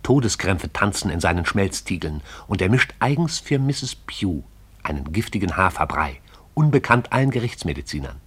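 Deep, husky male narrator. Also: big pitch swings, fairly bright tone. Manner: measured, lyrical, relishing. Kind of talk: poetic radio-drama narration.

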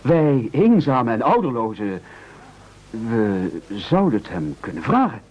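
A man's voice: medium pitch, big pitch swings, takes it slowly, lo-fi sound. A man in a radio play playing an anxious, pleading victim who argues in formal, stammering protests.

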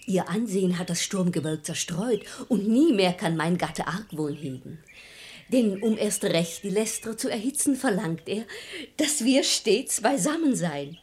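A woman's voice: mid-range voice, expressive, unhurried, darkish tone, slight background noise, natural voice. A woman playing a shrewd, composed lady of the house in a verse comedy radio play, articulate and persuasive. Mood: coyly seductive.

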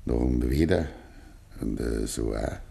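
An actor playing a stubborn, grieving old farmer with a Bavarian accent and quiet tenderness in a 1950s dialect radio play. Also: male voice, extremely low pitch, animated, a little slow, vocal fry, heavily acted. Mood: quiet, confiding. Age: older. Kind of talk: 1950s German dialect radio drama acting.

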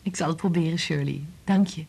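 A woman playing a troubled, evasive married woman in a Dutch radio drama, hesitant and defensive, with a husky voice. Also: low-pitched, brisk, background noise. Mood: evasive, weary.

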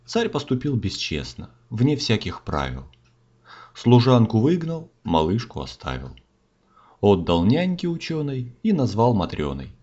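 A man's voice: medium pitch, wildly swooping pitch, unhurried, darkish tone, breathy edge. A man narrating a comic fairy tale in folksy, theatrical rhyme. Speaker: storyteller, narrator. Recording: slightly dull audio, music behind.

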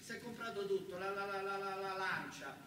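A male stage storyteller with a high voice and a southern Italian accent. He narrates in a colloquial, theatrical style, hammering phrases through repetition. The recording is distant and very echoey, with clear background noise. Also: a little fast. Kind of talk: theatrical monologue, first-person narrative. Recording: roomy, distant, noisy live recording.

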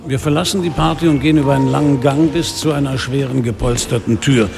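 An actor playing a weary, sardonic middle-aged police inspector, narrating a noir radio drama in a dry, laconic way. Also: male voice, medium pitch, fairly even intonation, fast, creaky, close to an everyday voice. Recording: music behind the voice.

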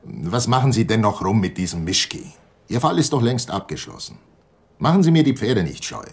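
An actor playing a curt, intimidating plant security boss, dismissive and menacing, in a radio crime drama. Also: male voice, on the low side, very animated, normal speed, vocal fry.

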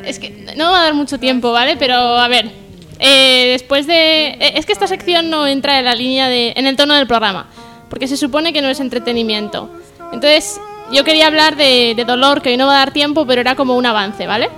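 A woman's voice: high-pitched, fairly quick, sharp tone, soft background music. A woman presenting an informal radio monologue in a hesitant, self-deprecating but earnest way. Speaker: section presenter on a humour radio show.

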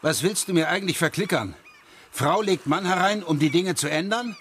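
A man's voice: sits high, animated, normal speed, fairly bright tone, a little hiss or hum. An actor playing a defensive, exasperated ex-policeman with a sarcastic edge in a radio crime drama. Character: defensive, exasperated ex-policeman suspected of hurting his girlfriend.

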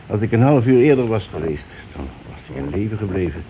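An actor in a radio play playing a stern, matter-of-fact doctor who speaks formally and with reproach. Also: male voice, on the low side, expressive, quick pace, mellow, highs cut off, lightly acted. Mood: grave, reproachful. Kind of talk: naturalistic radio play acting. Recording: noisy old radio play recording.

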